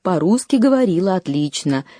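A woman reading a scripted documentary narration in a measured way, lifting her voice on key phrases. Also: on the low side, very animated, mellow, no echo, studio quality.

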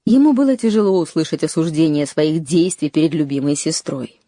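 A woman delivers a scripted documentary narration with a warm, mellow tone and a fairly low voice. She reads in a measured way, lifting her pitch to stress key phrases. The sound is dry and close, with no room around it. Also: no room sound.

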